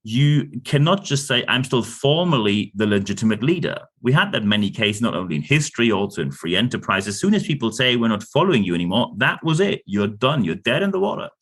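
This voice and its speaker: A man with a German accent giving a lecture-style talk on leadership, emphatic and animated, with jokey asides and repeated words. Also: medium pitch, fairly quick, few pauses. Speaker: leadership consultant host.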